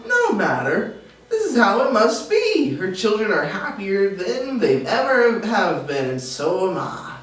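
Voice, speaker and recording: A man's voice: high voice, wildly swooping pitch, measured pace, a bit bright, heavily acted. A man playing a nervous but stubborn, contented husband in an amateur table read, with an insistent manner. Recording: distant mic, echoey room, faint noise.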